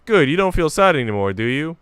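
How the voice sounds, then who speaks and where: A man voicing a wry, gently teasing, sympathetic woman companion in a visual novel. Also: fairly high pitch, very animated, fairly quick, clean tone, no room sound, soft background music, pitched above the performer's own voice. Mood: teasing, reassuring. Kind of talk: visual novel read aloud.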